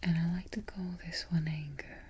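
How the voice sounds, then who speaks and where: A woman playing a haughty evil queen in a soft-spoken ASMR roleplay, breathy and whispery, giving petty orders with imperious, mock-sweet deliberation. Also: low voice, steady tone, normal speed, a little hiss or hum, natural voice. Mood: haughty, self-satisfied. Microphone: close ASMR microphone.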